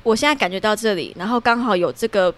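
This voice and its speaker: A woman's voice: mid-range voice, fast talker. A woman from Taiwan with a Taiwanese Mandarin accent, talking candidly and reflectively as a guest in a casual interview. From Tainan, Taiwan. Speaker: podcast guest, theatre performer-writer.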